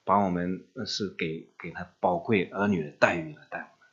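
A man interpreting a sermon live, relaying each phrase quickly and steadily. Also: sits low, steady tone, a bit bright, slightly rough, highs cut off.

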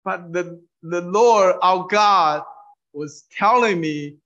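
Male preacher, native Mandarin speaker, unhurried and halting, higher-pitched, testimony style, on a dull muffled recording. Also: a bit bright. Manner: halting, with frequent fillers and restarts. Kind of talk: testimony sermon through an interpreter.

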